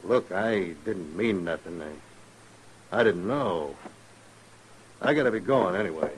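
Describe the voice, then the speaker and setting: An American actor playing a colloquial, drawling saloon tough who is easily cowed, in a 1950s radio western. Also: male voice, deep voice, animated, takes it slowly, poor audio. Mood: frightened. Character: swaggering saloon tough turned frightened and backing down. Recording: old radio recording with faint noise.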